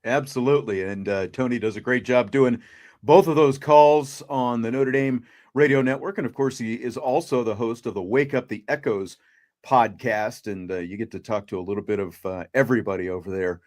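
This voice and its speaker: A man speaks as the host of a sports talk show. His voice sits at an average pitch, and he delivers introductions and questions in a casual, conversational style. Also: normal speed, a little mellow.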